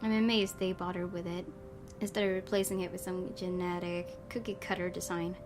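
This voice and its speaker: A woman's voice: lowish voice, little pitch movement, brisk. A woman hosting a let's play, reading a horror visual novel aloud and adding casual commentary. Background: music.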